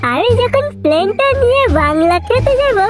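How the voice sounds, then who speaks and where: A man's voice: extremely high pitch, sing-song, moderate pace. A man voicing a boastful, foul-mouthed drunk in a comic cartoon, with slurred, rambling speech. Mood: drunken swagger. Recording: music behind the cartoon voice.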